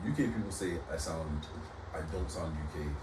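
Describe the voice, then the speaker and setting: A man's voice: extremely low pitch, far from the mic. A young man, a podcast guest joking around and defending himself. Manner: fast, animated, defensive joking. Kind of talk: casual banter interview. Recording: roomy, off-mic, faint background hiss.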